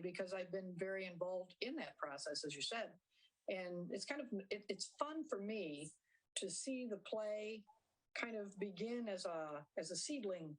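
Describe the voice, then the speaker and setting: A woman answering interview questions conversationally as a theater director, rephrasing haltingly as she explains. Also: lowish voice, rough recording.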